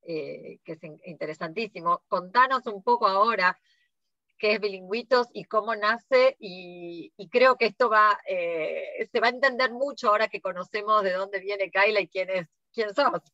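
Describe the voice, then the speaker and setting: A woman's voice: medium pitch, normal speed, sharp tone, no room sound, dull audio. A woman, hosting a podcast and asking thoughtful, hesitant questions. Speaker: podcast host. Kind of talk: casual interview.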